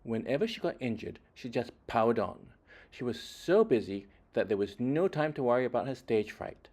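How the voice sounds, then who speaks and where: A man reading a children's story aloud expressively, with deliberate phrasing and dramatic emphasis. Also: medium pitch, big pitch swings, a little mellow. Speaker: children's book reader.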